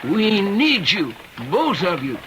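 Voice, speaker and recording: An actor playing a courtly, cryptic old-timer who politely refuses to budge, in an old-time radio drama. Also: male voice, high voice, big pitch swings, background noise, heavily acted. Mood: calm, insistent. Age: older. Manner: courtly, cryptic, unhurried explaining. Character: genial but unyielding mysterious old-timer man, folksy guide.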